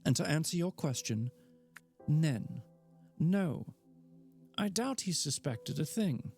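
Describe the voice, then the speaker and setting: A man's voice: on the high side, expressive, slow pace, a little mellow, faint music behind, pitched above the performer's own voice. A man reading aloud and voicing a confident, playful young woman who is an actress. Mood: reassuring. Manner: playful, reassuring.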